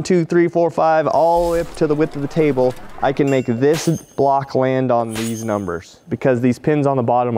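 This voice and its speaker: A man's voice: mid-range voice, a little mellow. Plainspoken man demoing shop tools. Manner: direct, plainspoken, enthusiastic about his tools. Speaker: tool maker demonstrating his products. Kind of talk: hands-on product demonstration. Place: metal fabrication shop at a fixture table.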